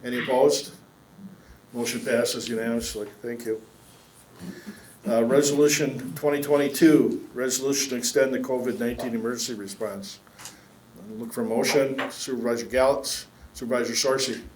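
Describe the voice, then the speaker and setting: A man chairing a county board meeting, speaking in a measured way with many fillers and restarts. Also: mid-range voice, fairly even intonation, darkish tone. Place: council chamber. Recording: echoey meeting room with faint hum.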